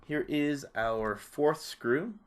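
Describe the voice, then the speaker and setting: A man's voice: fairly high pitch, very slow, smooth. A man presenting a repair tutorial, giving casual, informal step-by-step hands-on instructions. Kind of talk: casual hands-on how-to narration.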